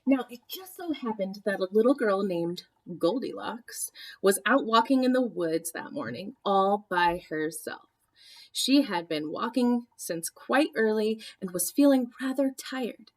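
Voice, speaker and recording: Slow-paced woman reading a storybook aloud. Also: medium pitch. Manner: expressive, deliberate storytelling. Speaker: art teacher reading aloud to children. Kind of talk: storybook read-aloud narration with asides.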